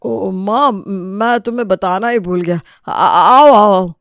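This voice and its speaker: Man as middle-aged father, sarcastic, bossy, animated family drama, smooth clear delivery, close dry recording. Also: high-pitched, slow, dry recording, heavily acted. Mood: flustered. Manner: sarcastic, nagging. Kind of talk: Hindi animated family story acting.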